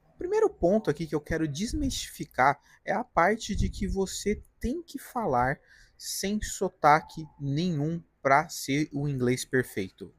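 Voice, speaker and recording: A Brazilian man with an interior-São Paulo accent talks straight to his audience in a relaxed, direct vlog, often ending his sentences with little check-in tags. His mid-pitched voice has a slightly husky edge, and the sound is close and dry, with little room around it. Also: unhurried, no echo. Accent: Paulista, from the interior of São Paulo. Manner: conversational, direct, frequent "né?" and "tá?" tags. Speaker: career vlogger, designer. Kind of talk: casual advice vlog to camera. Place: apartment balcony.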